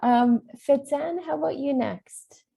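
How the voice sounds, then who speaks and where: Panel moderator, woman, fairly high and very dark-toned voice, friendly, brisk, on a video call. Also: vocal fry.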